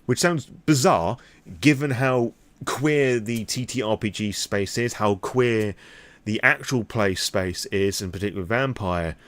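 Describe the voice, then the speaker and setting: A man's voice: lowish voice, unhurried, a bit bright, no echo. A British man rambling candidly through an impromptu vlog, opinionated and freely swearing. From Britain. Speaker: vlogger.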